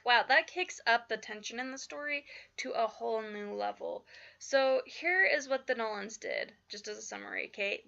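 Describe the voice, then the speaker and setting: A woman talks through a writing lesson in a casual, filler-heavy way, her voice slightly croaky from just waking up. Her tone is bright and a little high. The recording is somewhat dull and lo-fi. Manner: chatty, many fillers like "like" and "you know". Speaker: writing teacher.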